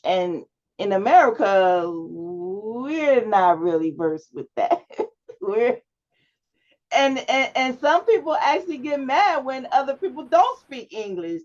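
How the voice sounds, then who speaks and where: A woman instructor leading an online class discussion, speaking in an unhurried, encouraging way with frequent fillers over a video call. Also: fairly high pitch. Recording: dull lo-fi video call audio.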